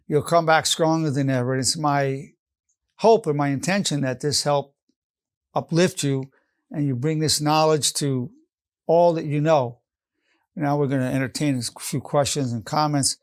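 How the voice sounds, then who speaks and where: Gravelly older man lecturing earnestly. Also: a little high. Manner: earnest, emphatic, repeats key phrases. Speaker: psychologist teaching an online lesson. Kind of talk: motivational lecture.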